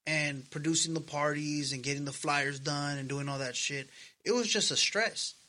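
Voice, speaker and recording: Bright-voiced, slangy man in his thirties. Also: fairly high pitch, moderate pace. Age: 30s. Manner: slangy, earnest, swearing freely. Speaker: podcast co-host. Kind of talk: casual personal chat.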